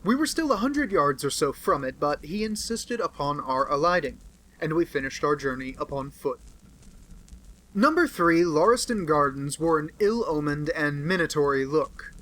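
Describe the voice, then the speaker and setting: Guy reading an old novel out loud, kinda high voice, steady storytelling. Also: normal speed, low-quality recording. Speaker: audiobook reader. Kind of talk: reading a classic novel aloud.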